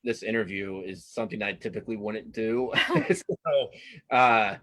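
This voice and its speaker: A man with a fairly low voice talks casually as an interview guest. His delivery is halting and self-deprecating, and he often doubles back on his words as he works out a thought. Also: a bit bright. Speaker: interview guest, comic strip cartoonist.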